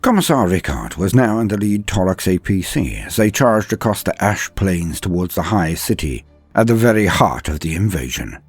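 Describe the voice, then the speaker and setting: Husky, low-voiced man narrating dramatically. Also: very animated, dry recording. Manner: theatrical, measured, with weighty pauses. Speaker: storyteller and channel host. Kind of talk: dramatic scripted story narration. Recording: music behind the voice. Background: background music.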